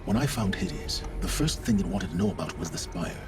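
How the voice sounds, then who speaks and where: An actor playing an aloof, calculating mentor in a video game, speaking in a deliberate, theatrical way. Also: male voice, low-pitched, lively intonation, over music. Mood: intense, instructive. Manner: deliberate, eloquent, theatrical phrasing. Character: aloof, calculating male mentor confessing his part in a cult. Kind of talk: dramatic video game dialogue.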